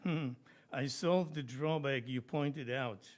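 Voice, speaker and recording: A man's voice: medium pitch, slow pace, lightly acted. A man telling a joke at a live reading, playing an earnest, stubborn inventor who explains himself insistently. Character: earnest, stubborn male inventor pitching a mousetrap.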